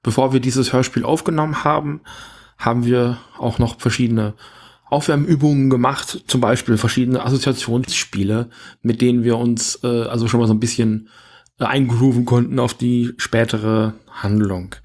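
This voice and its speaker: A man presents an audio play in a podcast introduction. His voice is medium in pitch, and he explains things in a measured way. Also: fairly even intonation, darkish tone.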